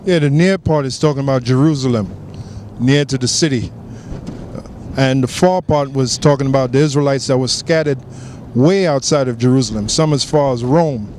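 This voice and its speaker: A man preaching in an outdoor discussion, emphatic and quick to affirm with agreement. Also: medium pitch, darkish tone, slight background noise. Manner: emphatic, keeps affirming with "that's right". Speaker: street preacher.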